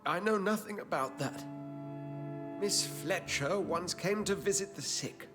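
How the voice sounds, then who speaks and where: An actor playing an anxious, defensive doctor in a video game, speaking in a formal, genteel, pleading way. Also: male voice, sits high, a little slow, music in the background. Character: anxious, defensive English doctor with secretive scientific zeal. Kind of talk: dramatic video game cutscene acting.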